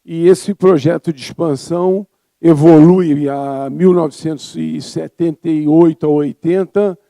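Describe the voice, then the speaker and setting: Casting: Brazilian man, 70s, slightly high-pitched for his sex, gravelly, informal conference speaker. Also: steady tone, unhurried, warm tone. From Rio de Janeiro, Brazil. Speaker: physician speaking as a congress presenter. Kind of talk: conference talk, informal and reflective.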